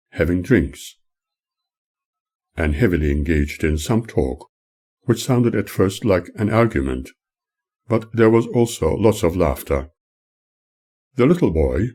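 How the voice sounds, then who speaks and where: An older man, the author, reading his own memoir aloud as audiobook narration in a measured, formal manner. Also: low voice, dark tone, husky.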